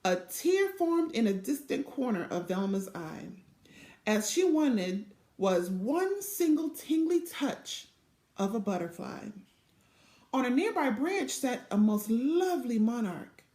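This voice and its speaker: A woman narrates a children's storybook in an expressive, deliberate way. Her voice is on the high side and she takes her time over the phrases. It sounds like a device mic in an echoey little office. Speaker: storybook reader. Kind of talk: children's read-aloud narration. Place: small indoor office.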